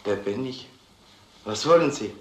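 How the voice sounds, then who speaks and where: An actor playing a wary, gruff village father, terse and questioning, in a crime drama. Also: fairly low pitch, animated, a little slow, a little hiss or hum. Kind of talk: German film crime drama acting. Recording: echoey old film soundtrack.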